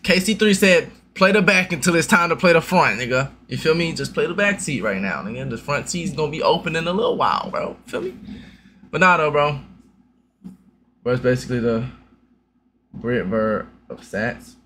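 A man's voice: fairly high pitch, expressive, normal speed, sharp tone, slight background noise. A young man streaming a reaction on a headset, brash and profane, full of loose slang. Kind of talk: reaction commentary.

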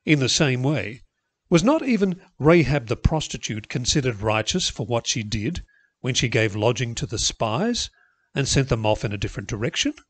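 A man reading a Bible passage aloud in a measured, expressive way. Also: medium pitch, dry recording. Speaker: Bible reader. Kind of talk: scripture reading.

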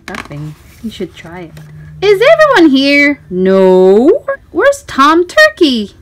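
A woman storytime host reading a picture book aloud for children, with expressive, sing-song questions and exclamations. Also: sits high, slow, soft background music.

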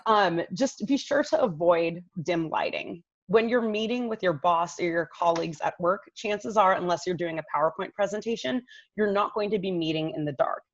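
A woman in her thirties speaks in a lowish voice, explaining practical tips conversationally, as a coach would. She is on a video call from home, and the sound is a little dull. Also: normal speed. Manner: conversational, explanatory, addresses listener directly. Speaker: image coach giving expert tips. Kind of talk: informal instructional advice on a video call. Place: living room at home, indoors. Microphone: laptop microphone on a video call. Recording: slightly dull, roomy webcam audio.

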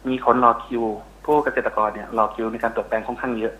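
A man's voice: medium pitch, steady tone, fast talker, fairly bright tone, rough recording. A middle-aged man explaining as an expert guest in a phone interview, often searching for words. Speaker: interview guest, university researcher. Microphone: telephone call.

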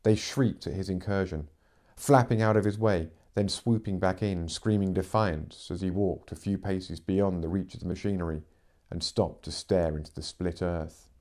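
A man's voice: sits low, steady tone, normal speed, warm tone. A middle-aged man reading fiction aloud as a narrator, in dramatic audiobook style. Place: living room, seated in armchair.

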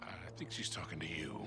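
An actor playing a genial, teasing older American sidekick in a video game, easygoing and jovial. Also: male voice, very deep voice. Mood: amused.

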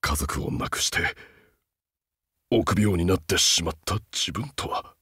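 A man's voice: sits low, unhurried, bright, forceful tone, husky, dry recording, studio quality, exaggerated voice. A man voicing a huge, loyal, humble beast-man soldier in a game, haunted and earnest, speaking with stiff archaic military formality. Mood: fearful, grieving. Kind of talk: Japanese game character voice acting.

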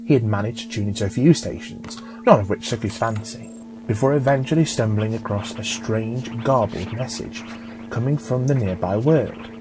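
A man reading a scripted story as an audiobook narrator, in a measured, dramatic storytelling manner. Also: medium pitch, over music, rough recording.

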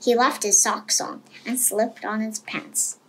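A woman's voice: on the high side, fairly even intonation, a little slow, background noise. A woman reading a children's storybook aloud as narrator. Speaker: storybook reader.

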